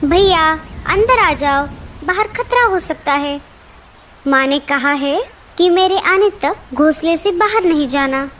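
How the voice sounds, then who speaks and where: A woman's voice: extremely high pitch, expressive, slow, a bit bright, slight background noise, full character voice. A woman voicing a cautious, pleading small baby bird in a cartoon. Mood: anxious. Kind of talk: Hindi cartoon character voice.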